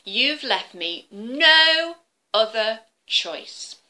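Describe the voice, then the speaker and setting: A wise female owl , voiced by a woman reading aloud speaks in measured, polite but unbending tones. The voice is bright and lively, pitched a little high, from a children's story. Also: full character voice. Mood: firm.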